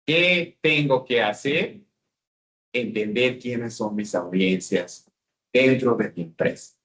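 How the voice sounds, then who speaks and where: A man's voice: medium pitch, slow, fairly bright tone, poor audio. A man with a Mexican accent giving a business seminar talk and often asking the audience questions. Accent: Mexican Spanish. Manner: engaging, asks the audience questions. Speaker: speaker.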